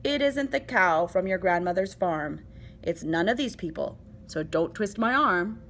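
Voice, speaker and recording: A woman's voice: on the low side, expressive, a little slow, fairly bright tone, a little hiss or hum. A woman reading as a devoted, secretive male toad suitor in a children's storybook. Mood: firm, secretive.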